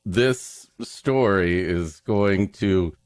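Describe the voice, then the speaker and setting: A man's voice: deep voice, extremely slow, no echo. A man hosting a comedy podcast, mixing casual joking banter with mock-florid, dramatic reading of a story.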